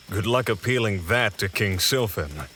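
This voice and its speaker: A man voicing an authoritative, measured captain of forest guardians in a fantasy audio drama. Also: lowish voice, lively intonation, bright, forceful tone, slight background noise, full character voice. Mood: amused. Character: commanding male captain of elven forest guardians.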